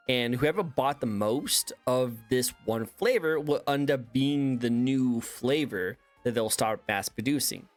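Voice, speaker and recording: Lively, chatty man hosting. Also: medium pitch. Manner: chatty, lively and quick to laugh. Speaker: interview host. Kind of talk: casual interview chat.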